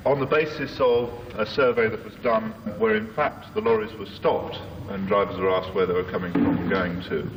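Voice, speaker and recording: A man's voice: on the low side, fairly even intonation, moderate pace, hardly any pauses, muffled recording. A man giving a detailed technical explanation at a meeting. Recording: echoey hall, distant mic, faint noise.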